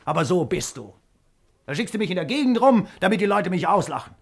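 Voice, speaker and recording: A man's voice: high voice, very animated, fairly quick, gravelly, heavily acted. An actor in a 1950s radio drama playing a hot-tempered, aggrieved man with a blunt, emphatic way of talking. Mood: angry, reproachful.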